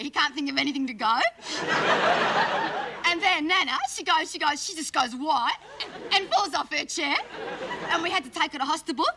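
Woman as a high-pitched gossipy Aussie schoolgirl. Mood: gossipy, gleeful. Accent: Australian. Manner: breathless rambling slangy storytelling. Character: gossipy, indignant, cheeky Australian schoolgirl. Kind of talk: stand-up comedy character monologue. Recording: live stage, loud audience laughter and noise. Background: loud laughing crowd.

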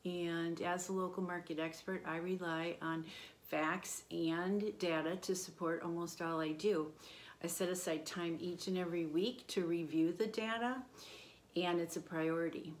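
A woman in her fifties speaks straight to the camera from a room at home, her voice sitting a little low. She works through her points in order with steady confidence, like an agent pitching her services. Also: a little slow. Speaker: real estate agent. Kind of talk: promotional talk to camera. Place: indoor home room by a window.

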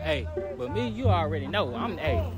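Lively high-pitched young man. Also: normal speed. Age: 20s. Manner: hyped, repeats catchphrases. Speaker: street interviewer, vlog host. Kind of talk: casual street interview banter, slangy. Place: outdoors at a car show field. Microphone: handheld device held to mouth. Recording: music behind outdoor handheld recording. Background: music.

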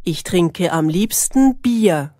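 A woman reading short lesson sentences slowly and clearly, repeating each one for learners. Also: fairly low pitch, lively intonation, dark tone, no room sound, clean studio sound. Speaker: language lesson narrator. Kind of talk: slow, clearly articulated example sentences, each said twice.

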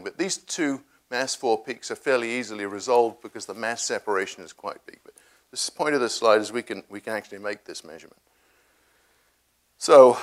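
A man giving a public science talk, explaining his experiments with many pauses, fillers and restarts. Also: medium pitch, fairly bright tone. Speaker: research scientist presenting a talk. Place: cafe or hall at a public science event.

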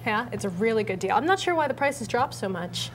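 High-voiced young American woman co-hosting. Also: lively intonation, a little fast. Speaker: tech show co-host. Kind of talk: conversational tech show presenting. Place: TV-style studio at a desk. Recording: faint background noise.

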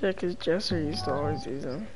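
A woman's voice: low-pitched, fairly quick. A woman gaming with a friend over a headset, blunt and teasing, grumbling about the game. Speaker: streamer and gaming partner. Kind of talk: casual gaming chat. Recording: loud game music underneath.

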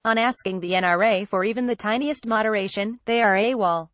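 Female reader for an op-ed; steady, measured, emphatic pauses, dry booth sound. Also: medium pitch, no room sound. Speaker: reader of an opinion column. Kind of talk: read-aloud opinion column.

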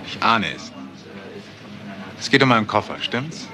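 Deep, urbane gentleman of mystery, self-assured and measured, for a noisy retro crime drama. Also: big pitch swings, full character voice. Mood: polite, knowing. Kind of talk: German radio crime drama acting.

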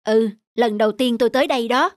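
A man's voice: very high-pitched, quick pace. Mild young male traveller. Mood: pleased. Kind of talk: cartoon dubbing. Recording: music behind the voice.

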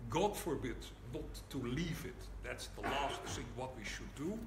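An older man with a Dutch accent, a rabbi giving a public lecture in a large synagogue hall, speaking deliberately and emphatically with dry wry humor. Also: medium pitch, animated. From Netherlands. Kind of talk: public lecture at a book launch. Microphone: camera low in front of the lectern. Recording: echoey hall with background noise.

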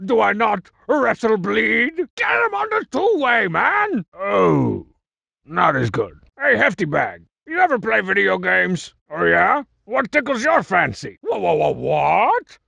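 An actor playing a cocky, boastful, juvenile cartoon villain for a comedy video game, with a raspy growl and mocking, slangy tough-guy delivery. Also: high-pitched, huge pitch swings, slow, sharp tone, no room sound, dull audio, heavily acted. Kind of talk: exaggerated comic video game character acting.

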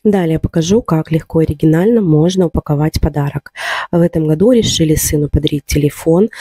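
Home vlogger, woman, slightly ill and a bit hoarse, calm step-by-step voice-over, warm dry voice. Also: sits low, no room sound. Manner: calm, instructive, explains step by step. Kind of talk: voice-over narration of a cooking and decorating vlog.

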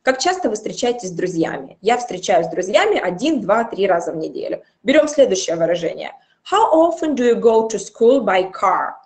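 A woman in her thirties teaching an English grammar lesson, explaining step by step and repeating example phrases slowly, recorded by a webcam in a small room. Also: mid-range voice, big pitch swings.

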